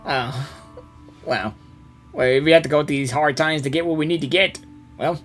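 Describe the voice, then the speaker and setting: A woman's voice: low-pitched, normal speed. A woman gamer giving casual reaction commentary, rambling, repeating words and exclaiming as she goes. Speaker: gamer reacting to a story quest. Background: game music.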